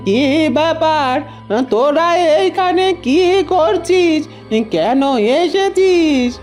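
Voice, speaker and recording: A woman's voice: very high-pitched, takes it slowly, a little mellow, faint music behind. A woman voicing a curt, sinister old village herbalist in a fairy-tale cartoon, brusque and commanding. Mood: suspicious, brusque.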